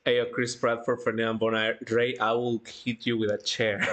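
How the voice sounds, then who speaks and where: Giggly, halting livestream host chatting casually. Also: medium pitch, level tone, a bit bright. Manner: giggly, halting, breaks into laughter often. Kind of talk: casual chat, reading out live chat.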